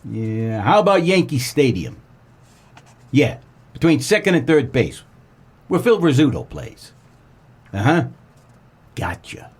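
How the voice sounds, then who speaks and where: An American man playing a world-weary, wisecracking 1940s private eye in a comedic noir radio play, with deadpan melodrama. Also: medium pitch, slow pace, pauses often, slightly rough, exaggerated voice. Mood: brisk, deadpan. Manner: deadpan, melodramatic tough-guy delivery.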